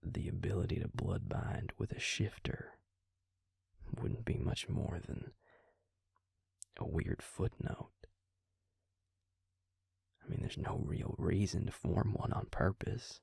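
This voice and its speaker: A man playing a calm, protective vampire in an intimate audio roleplay, speaking gently and measuredly in a breathy, gravelly near-whisper. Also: sits low, slow, pauses often, no echo, studio quality, exaggerated voice. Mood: grave, explaining. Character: calm, protective male vampire explaining lore to a werewolf. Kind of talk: intimate audio roleplay, slow hushed delivery.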